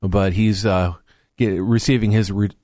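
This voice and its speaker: Earnest low-voiced radio host. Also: male voice, steady tone, unhurried, mellow, no room sound, studio quality. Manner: earnest, rambling with restarts. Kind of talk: radio talk show. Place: radio studio.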